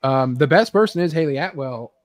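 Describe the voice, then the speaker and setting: Casual man, slightly high-pitched, close sound. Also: animated, fairly quick, no room sound. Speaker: podcast co-host. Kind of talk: casual chat about movies and games.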